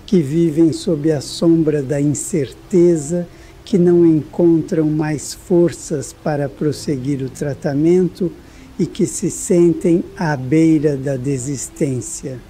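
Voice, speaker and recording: A Brazilian speaker reading a solemn scripted prayer in a reverent, soothing, measured manner. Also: mid-range voice, fairly even intonation, warm tone, a little hiss or hum. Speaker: prayer reader.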